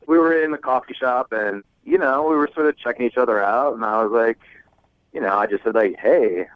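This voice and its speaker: A man's voice: medium pitch, fairly quick, no room sound. A man calling a radio show by phone, telling a story in a jokey, informal way. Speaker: caller. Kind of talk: casual anecdote telling. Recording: slightly dull phone line.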